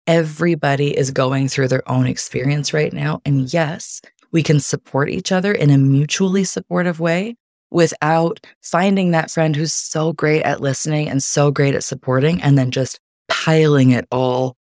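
A woman's voice: deep voice, steady tone, normal speed, no room sound, studio quality. A woman, a podcast guest, chatting casually and earnestly about coping, laughing often. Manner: warm, earnest advice-giving with frequent laughs. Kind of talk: casual interview chat.

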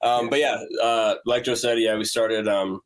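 A laid-back young man from North Dakota chimes in during an interview. His voice sits fairly low, and he talks loosely, with plenty of hesitations. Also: fairly even intonation, normal speed, slight background noise. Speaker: band member guest. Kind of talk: casual interview answers.

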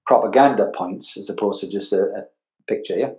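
An older English man talks in a low, very mellow voice with plenty of room echo around it. He explains things in casual interview style, often doubling back on words and phrases as he goes. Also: a little fast. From northeast England. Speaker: interview guest, advertising art director. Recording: roomy, echoing sound.

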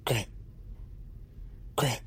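An actor playing a boastful, defensive goofball bounty hunter who rambles in casual slang, in a naturalistic comedic audio drama. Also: male voice, extremely slow. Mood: teasing.

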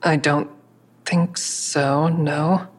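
A woman's voice: low-pitched, fairly even intonation, extremely slow, heavily acted. An actress playing an anxious, defensive woman under interrogation in an audio drama, guarded and earnest. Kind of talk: audio drama acting with first-person narration.

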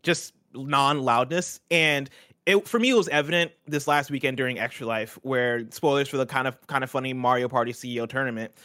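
A man's voice: mid-range voice, fairly quick, bright, forceful tone, no echo, clean studio sound. A man hosting a casual gaming news show, talking energetically and chattily with lots of fillers. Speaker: podcast host.

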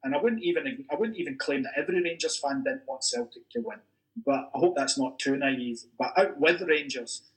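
A Scottish man, an academic in a podcast discussion, making careful, measured points that he qualifies as he goes. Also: mid-range voice, pushed and bright, poor audio. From Scotland. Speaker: university academic discussing football history.

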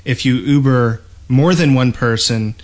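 A man's voice: medium pitch, unhurried. Man explaining things in casual gaming chat. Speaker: podcast host.

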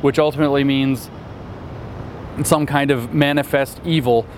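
A man speaking as a guest in a roundtable discussion, sardonic and rapid-fire. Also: medium pitch, steady tone, a little hiss or hum. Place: seated at a table in a city.

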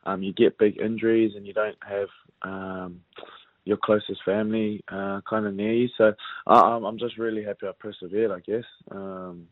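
A young man from New Zealand talks in an easygoing, halting way, full of ums and restarts. His voice sits a little low. It comes through a narrow, phone-like line with no room sound. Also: no room sound. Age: young adult. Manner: relaxed, halting, many fillers and restarts. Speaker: rugby league player, guest. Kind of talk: casual interview chat.

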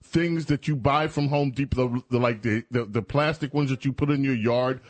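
A man's voice: medium pitch, normal speed, no echo. Sarcastic radio host, man, close dry studio mic, mocking guests. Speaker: radio talk show host. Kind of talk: talk radio chat. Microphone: studio microphone.

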